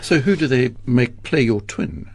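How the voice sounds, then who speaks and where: Slightly gravelly male interviewer. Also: mid-range voice, animated, a little slow, darkish tone. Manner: brief prompting questions, light laughter. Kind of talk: interview questions.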